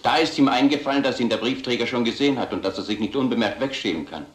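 An actor playing a stern police investigator in a crime radio drama, deliberate and authoritative. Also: male voice, medium pitch, echoey room, close to an everyday voice. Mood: accusing. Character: stern, accusing male police investigator pressing for confession.

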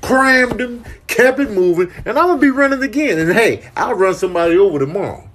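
A man's voice: high-pitched, lively intonation, fairly quick, a bit bright, pitched above the performer's own voice. A man voicing a vain, swaggering stallion in slangy comedic animal voiceover, boasting without remorse. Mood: cocky, unrepentant. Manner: cocky slangy street banter.

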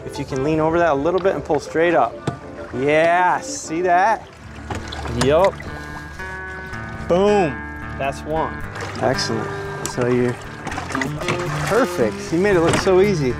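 Cheerful sailing vlogger, a man with a higher-than-average voice, talking his crew through tasks on deck. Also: slow pace, pauses often, music in the background. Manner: upbeat, encouraging, narrates each task. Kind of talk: casual narration while sailing. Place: outdoors on a sailboat.

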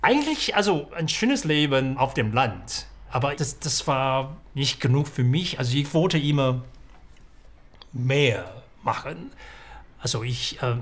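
Ein Mann aus Taiwan, Muttersprache Mandarin, mit taiwanischem Akzent, spricht im Interview in einem kleinen Restaurant zögernd, mit vielen Füllwörtern und Neuansätzen. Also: male voice, medium pitch. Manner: hesitant, many fillers and restarts. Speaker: writer. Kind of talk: documentary interview. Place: small restaurant indoors.

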